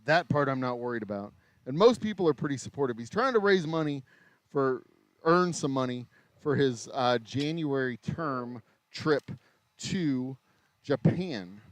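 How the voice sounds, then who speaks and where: Tired, slightly raspy American man rambling. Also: medium pitch, expressive, measured pace, dry recording. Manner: rambling, self-interrupting, mild swearing. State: voice getting raspy from long talking. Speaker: distillery owner and livestream host. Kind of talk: casual livestream chat while working. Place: small craft distillery shop.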